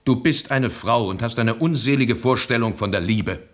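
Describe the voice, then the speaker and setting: An actor in a radio play playing an embittered, ruthless Russian revolutionary with an impassioned, declamatory delivery. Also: male voice, normal speed, natural voice. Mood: cold, stern. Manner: impassioned, declamatory, swinging from low to loud.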